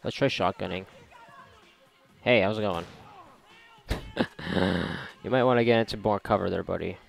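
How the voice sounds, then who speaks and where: A man's voice: lowish voice, normal speed, vocal fry. A man giving casual, rambling gameplay commentary in a sarcastic, foul-mouthed way. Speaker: video game player commentating. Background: video game music and sound.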